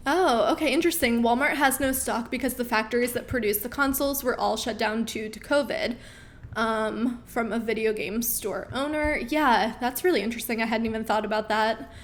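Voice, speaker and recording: A woman in her thirties chats casually through viewer questions on a live stream, upbeat and full of ums. Her voice sits fairly high and is starting to wear out. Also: moderate pace. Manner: chatty, upbeat, with frequent ums. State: losing her voice.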